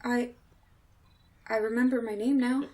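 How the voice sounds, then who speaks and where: A female actor as a doomed, fatalistic castaway in an old-time radio horror drama. Her voice sits fairly high. She speaks with solemn, halting theatrical fear and grim resignation. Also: fairly even intonation, darkish tone, natural voice. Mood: weary, resigned. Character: stranded woman named Mary, fatalistic, grimly resigned, fearful. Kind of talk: retro 1930s radio horror drama acting.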